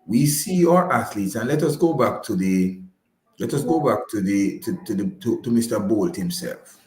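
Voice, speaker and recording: A man's voice: on the low side, lively intonation, normal speed, a little mellow, reverberant. A man with a Jamaican accent giving conversational advice as a radio guest, emphatic and didactic, repeating himself and often checking in with the listener. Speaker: guest explaining personal finance. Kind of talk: conversational explanatory radio talk.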